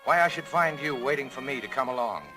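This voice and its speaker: An actor in a 1940s radio drama playing a bitter, heartbroken, hard-drinking saloon owner, terse and world-weary. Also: male voice, medium pitch, fast, lightly acted. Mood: tender, wry. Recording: old radio broadcast with music behind.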